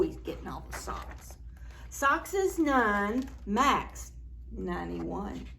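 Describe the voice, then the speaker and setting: Animated, high-voiced woman reading to preschoolers. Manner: animated, playful, dramatic reading with gasps and exclamations; addresses young children with simple questions. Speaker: children's library storyteller. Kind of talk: preschool picture book read-aloud.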